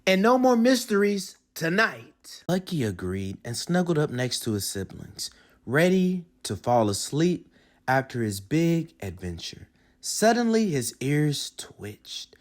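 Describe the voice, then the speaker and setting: A man reading a children's storybook aloud as host, in an expressive, dramatic storytelling manner. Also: mid-range voice, measured pace.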